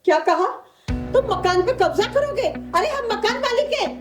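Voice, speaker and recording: A woman's voice: very high voice, animated, moderate pace, over music. A woman playing a worried, aggrieved elderly wife and landlady in a melodramatic short film. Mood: indignant.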